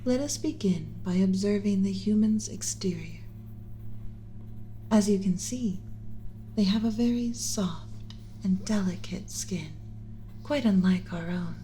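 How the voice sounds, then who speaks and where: A woman voicing a gentle, motherly alien scientist in an ASMR roleplay, speaking in a soothing, measured, instructional, often whispery way. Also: mid-range voice, a little hiss or hum, close to an everyday voice, rough recording. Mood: gentle, instructive. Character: gentle motherly alien scientist teaching a class about pet human.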